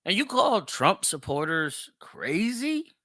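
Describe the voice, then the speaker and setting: Animated man with a bright, forceful voice. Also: high-pitched, slow pace, dry recording, clean studio sound. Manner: emphatic, repeats phrases for stress. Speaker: podcast host. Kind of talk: opinionated solo commentary.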